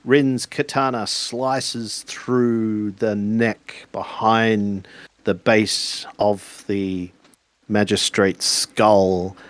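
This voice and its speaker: A man improvising narration for a tabletop roleplaying game, speaking in a halting, deliberate way. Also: fairly low pitch, fairly even intonation, dry recording.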